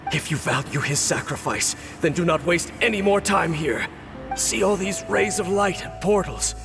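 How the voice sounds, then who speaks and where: A man's voice: high voice, creaky. A man voicing a stern, weary, ageless warrior captain, grave and formal, in a video game cutscene. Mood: urgent, commanding. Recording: long reverb, music behind.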